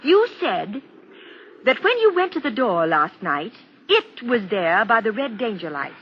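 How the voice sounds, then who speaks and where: A woman's voice: on the high side, very animated, measured pace. An actress playing a rational, insistent woman in an old radio drama, articulate, persuasive and dramatic in her delivery. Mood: firm, persuasive. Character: rational, insistent woman reasoning with a frightened man. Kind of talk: 1940s radio drama acting and narration. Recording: dull, noisy old radio broadcast.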